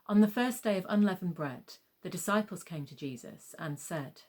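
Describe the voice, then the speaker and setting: Low-pitched woman narrating scripture. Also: expressive, moderate pace, darkish tone, husky. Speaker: gospel narrator.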